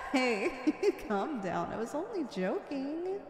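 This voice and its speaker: Woman acting a flirty high-voiced supervillainess in echoing reverb. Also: big pitch swings, slow, heavy background noise, full character voice. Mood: playful, teasing. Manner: coy, playful, teasing, sweet-talking. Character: flirty, teasing, confident female supervillain seeking an ally. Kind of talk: ASMR roleplay, acted monologue to the listener. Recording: echoey with long reverb.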